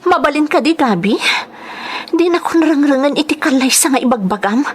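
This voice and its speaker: Blunt, animated young mother played by a woman. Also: high-pitched, brisk. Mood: cold, defiant. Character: young new mother, cold, blunt, rejecting her baby. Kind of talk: Ilocano serial audio drama acting.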